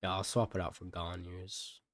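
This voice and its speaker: A man's voice: sits low, level tone, normal speed. A man streaming a game, chatting casually and thinking aloud in a rambling way. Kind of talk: casual live gameplay commentary. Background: game music.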